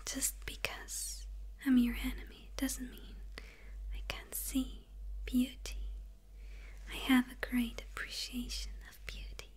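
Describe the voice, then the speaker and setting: A woman's voice: high voice, monotone, exaggerated voice. Woman whispering as a gloating demon villainess. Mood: fascinated, teasing. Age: young adult. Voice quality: breathy whisper.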